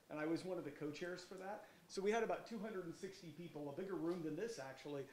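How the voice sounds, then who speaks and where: A man with a cold lectures in a loud, carrying voice, pitched a little high. He talks informally and swings from booming emphasis to quiet asides. The sound rings with the echo of a lecture hall. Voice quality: loud, projecting voice. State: has a cold. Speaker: guest lecturer, medical researcher.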